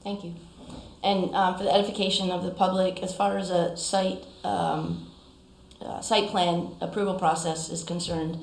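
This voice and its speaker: A woman chairing a town council meeting, polite and halting, with many ums and bursts of quicker speech. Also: on the low side, darkish tone, reverberant, poor audio.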